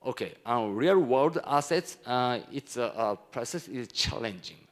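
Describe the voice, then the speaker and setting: A man from Japan with a Japanese accent gives a conference presentation in halting, non-native English with broken phrasing. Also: medium pitch, animated. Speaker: conference speaker, hedge fund executive.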